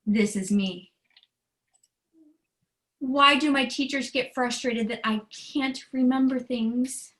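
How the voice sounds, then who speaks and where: A woman who is a children's author, reading aloud and explaining in a deliberate, earnest way with simple phrasing. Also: high-pitched, fairly even intonation, fairly bright tone. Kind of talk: storybook read-aloud and explanation.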